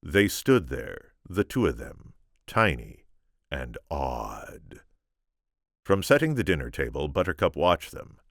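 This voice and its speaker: A man reading a story aloud as expressive narration, theatrical and deliberate. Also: very deep voice, gravelly, no echo, clean studio sound. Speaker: amateur audiobook reader.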